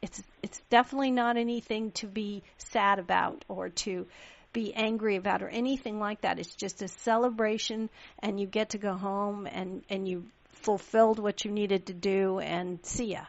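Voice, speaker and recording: A woman's voice: medium pitch, steady tone, normal speed, creaky, dull audio. A woman from Pakistan hosting a radio interview, chatty and affirming, with many small agreements. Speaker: radio show host.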